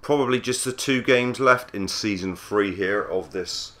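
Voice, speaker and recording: Husky man with a cold, bright tone. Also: fairly low pitch, unhurried. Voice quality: husky, croaky. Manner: easygoing, understated play-by-play. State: hoarse from a cold. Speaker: gaming channel host. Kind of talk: casual game commentary.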